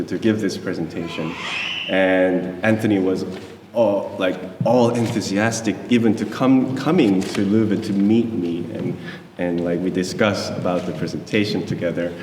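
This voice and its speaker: A man's voice: lowish voice, a little slow, a little mellow, a little hiss or hum. A man in his thirties from South Korea, a native Korean speaker, giving a talk to an audience in English. He hesitates often and restarts his sentences, and he is friendly with the audience. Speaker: guest lecturer. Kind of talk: presentation to an audience. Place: lecture hall. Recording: echoey hall from far off.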